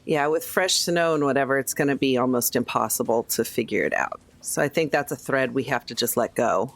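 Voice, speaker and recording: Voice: mid-range voice, fairly quick. A tabletop game player talking casually and informally while discussing the story in character.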